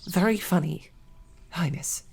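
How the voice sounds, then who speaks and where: A woman playing a snarky, devoted female knight, stammering and flustered, often dropping to soft whispers. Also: fairly low pitch, measured pace, vocal fry, husky. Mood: earnest. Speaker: audio drama performer. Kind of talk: romantic audio roleplay.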